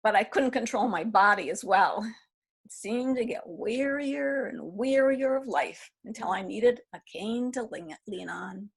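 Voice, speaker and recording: A woman reading a husky, wistful aging lady. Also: a little high, lively intonation, unhurried, exaggerated voice. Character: aging woman pining for a lost love. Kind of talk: readers theatre reading.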